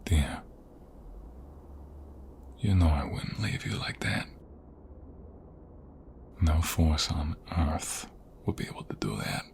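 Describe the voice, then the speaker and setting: Guy doing a deep voiced mobster husband for asmr roleplay, super slow n breathy, whispery, tender but protective and fierce. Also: big pitch swings, slight background noise, exaggerated voice. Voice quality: soft, breathy, often dropping to whispers. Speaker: voice actor. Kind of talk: ASMR romantic roleplay acting.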